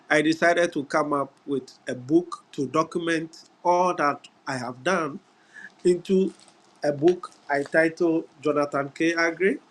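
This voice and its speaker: A middle-aged man from Ghana with a Ghanaian English accent, giving an unhurried webinar talk over a video call, often pausing on "uh" and "yeah". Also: on the high side, fairly bright tone, rough recording. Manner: unhurried, repeats words, frequent "uh" and "yeah". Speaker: guest speaker. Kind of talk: webinar presentation.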